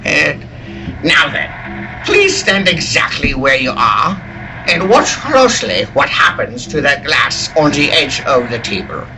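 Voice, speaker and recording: A man playing an excitable scientist and inventor in a dramatic, emphatic old-time radio style. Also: on the high side, a little slow, noisy background. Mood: proud, intense. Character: excitable male scientist, inventor of a deadly machine.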